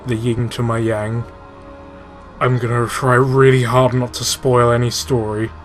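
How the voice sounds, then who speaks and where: A man's voice: medium pitch, flat delivery, a little slow, soft background music. A man hosting casual gaming commentary, swearing freely and explaining things with enthusiasm. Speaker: host playing and explaining a video game. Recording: clearly noisy room recording.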